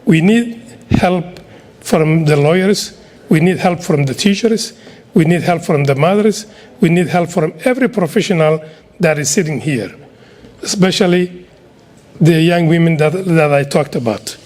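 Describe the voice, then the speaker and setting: A man's voice: fairly high pitch, lively intonation, a little slow, a little mellow. A middle-aged man from Somalia with a Somali accent, giving an earnest speech to an audience. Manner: earnest and exhorting, with repetitions. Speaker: community speaker.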